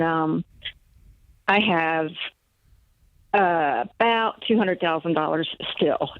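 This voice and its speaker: A woman's voice: lowish voice, no echo. Hesitant older woman on a phone line. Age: 60s. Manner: hesitant, many fillers and restarts. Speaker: caller. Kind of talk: phone call to a radio advice show.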